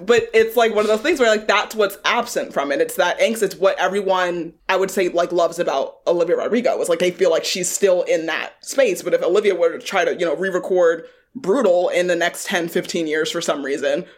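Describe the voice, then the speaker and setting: A woman in her twenties, a music journalist guest talking in a casual podcast discussion, animated and quick with laughing asides. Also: on the low side. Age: 20s.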